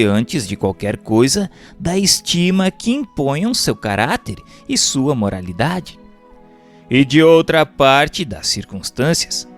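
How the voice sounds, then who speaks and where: A man narrates a book in a formal, didactic way. His delivery is measured and scripted, at an average male pitch, with music playing behind him. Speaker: audiobook narrator. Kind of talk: scripted narration, reading a religious book aloud.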